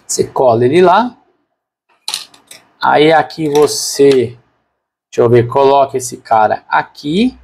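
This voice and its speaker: A man's voice: medium pitch, slow pace, gravelly, long pauses, darkish tone, a little hiss or hum. A man with a Brazilian Portuguese accent narrating a product unboxing casually and unscripted, informally thinking aloud as a reviewer.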